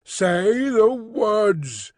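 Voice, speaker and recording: A man voicing a hunched male beast-man who slowly intones a law in a sing-song. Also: high voice, big pitch swings, a bit bright, no echo, studio quality, pitched above the performer's own voice, full character voice. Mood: solemn chanting.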